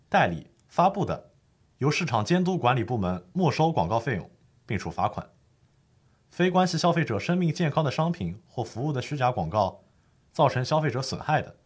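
A man's voice: medium pitch, slightly rough. A man playing an earnest lawyer who formally explains the law in a scripted educational radio drama. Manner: formal, didactic. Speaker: legal expert host.

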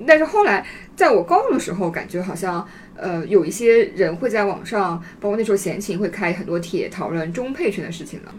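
Animated, fast-talking woman in group chat. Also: medium pitch, a little hiss or hum. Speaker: podcast co-host.

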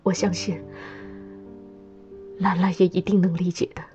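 A woman's voice: fairly low pitch, little pitch movement, measured pace, mellow, dull audio, faint music behind, close to an everyday voice. An actress playing a grieving, resolute mother in a radio drama, speaking in a solemn, pleading, emotional way. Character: grieving, resolute mother urging her brother to sacrifice.

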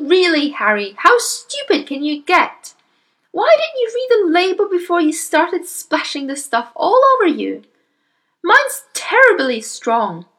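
A woman voicing a bossy, exasperated middle-aged mother in a children's audiobook, shrill and scolding. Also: a bit bright, full character voice.

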